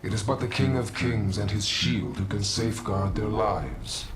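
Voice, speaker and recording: An actor playing an ancient, stern legendary warrior in a video game, speaking in a grandiose, archaic, measured manner. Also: male voice, on the low side, a crowd in the background, natural voice. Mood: grave, menacing. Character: ancient legendary warrior, stern male trial-master, imperious. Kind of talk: video game cutscene acting. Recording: dramatic game music behind.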